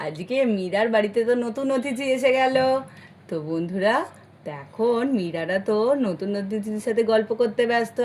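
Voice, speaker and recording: A woman's voice: on the high side, darkish tone. A woman narrating a children's doll story and addressing the viewers as friends.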